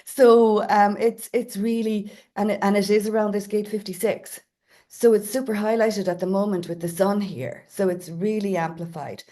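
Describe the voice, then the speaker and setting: A woman explaining as a guest in a casual talk, steady, sometimes playing out quoted voices. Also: medium pitch, moderate pace. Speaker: guest astrologer.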